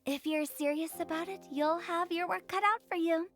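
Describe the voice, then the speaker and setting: An actress voicing a playful, mischievous funeral parlor director in a video game, with a teasing, theatrical and quick-witted manner. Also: female voice, very high-pitched, steady tone, fairly bright tone.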